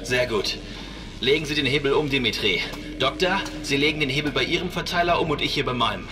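An actor playing a level-headed male spaceship captain in a German sci-fi horror audio drama, brisk and commanding. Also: medium pitch, lively intonation, natural voice. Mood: focused. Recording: loud music behind the voice.

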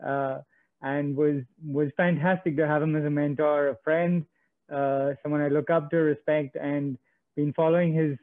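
A man with a fairly high, flat voice hosts an online interview. He introduces his guest in an admiring way, with some uhs. The audio is tinny and dry, like a phone line. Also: dry recording. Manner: warm, admiring, with fillers. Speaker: interview host.

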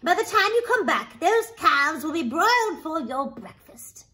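A woman voicing a great big ogre's wife in a storybook, brisk, bossy and emphatic. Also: extremely high pitch, big pitch swings, pushed and bright, voice pushed up, heavily acted. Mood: brisk coaxing.

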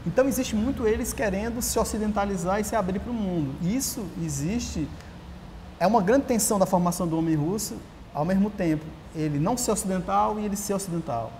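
A Brazilian man in his thirties talks as an interview guest, animated and prone to restarting his sentences. He sits in a large empty auditorium, miked on his lapel. The long hall reverb lingers behind him, with a faint hiss. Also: sits high, a little fast. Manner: animated storyteller, frequent restarts. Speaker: writer and journalist, guest. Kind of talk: casual interview talk. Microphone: lapel mic.

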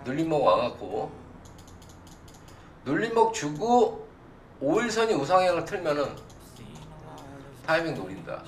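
Animated man hosting, roomy distant sound. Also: on the high side. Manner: blunt, informal, quizzing viewers with repeated either-or questions. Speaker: stock broadcast host. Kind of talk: live stock chart commentary for viewers. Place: indoor desk at a computer, livestream setup. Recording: roomy, distant mic, faint music behind.

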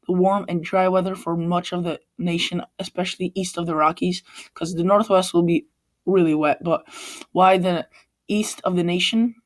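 Rambling man with a Polish accent. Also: high-pitched. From Poland. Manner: rambling, frequent restarts and self-corrections. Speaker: weather channel host. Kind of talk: casual forecast over screen-shared maps. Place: Chicago.

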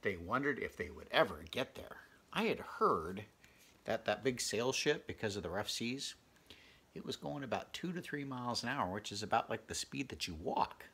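A man in his fifties with a gravelly voice reads a picture book aloud, adding chatty, conversational asides for young listeners. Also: medium pitch, lively intonation, normal speed, fairly bright tone. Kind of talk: children's read-aloud with casual asides. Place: small furnished bedroom, indoors.

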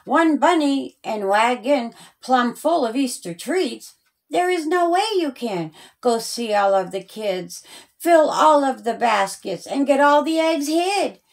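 Woman as excited little boy, high and unhurried. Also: vocal fry, exaggerated voice. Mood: earnest. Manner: earnest, simple rhymed sentences. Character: excited little boy who loves Easter, storybook narrator.

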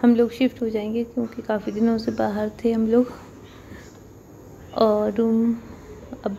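A woman's voice: on the high side, measured pace, gravelly. A woman in her thirties narrating a casual home vlog about household chores, chatting evenly from a room in her apartment into a phone camera. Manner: chatty, explains daily chores at an even pace. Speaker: vlogger. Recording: slightly dull phone audio with faint room noise.

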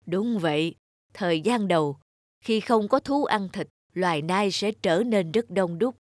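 A woman voicing a wise, patient old male tree spirit who teaches in a measured way in an animated fable. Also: medium pitch, dry recording, studio quality, natural voice. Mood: grave, explaining. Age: older. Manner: measured, didactic, gently instructive.

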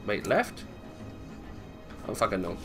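A man's voice: on the low side, wildly swooping pitch, measured pace, over loud music. A British man giving casual gameplay commentary, thinking aloud, swearing and questioning himself as he goes. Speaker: gaming video host.

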